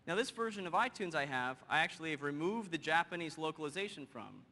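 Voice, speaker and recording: Male presenter, 30s, bright, punchy stage voice, lively demo delivery, big hall with long reverb. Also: on the high side. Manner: energetic, quick and joking with the audience. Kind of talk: live software demo on stage. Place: large conference hall stage. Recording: hall reverb, faint noise.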